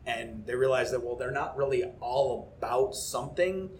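A man's voice: mid-range voice, normal speed, slight background noise. A man talking casually as a taproom co-owner in an interview, enthusiastic and rambling with lots of restarts.

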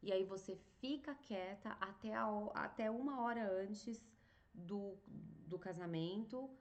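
A woman, hosting a podcast and telling a personal story in a chatty, animated way, with many fillers and restarts. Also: mid-range voice, unhurried. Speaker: singer and podcast host. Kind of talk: casual storytelling monologue.

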